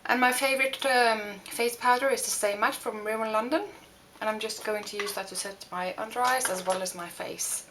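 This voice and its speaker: A young woman from Norway with a Norwegian accent, talking through a makeup tutorial with many pauses and restarts. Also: fairly high pitch, steady tone, background noise. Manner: hesitant, many fillers and restarts. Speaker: beauty vlogger. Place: home room.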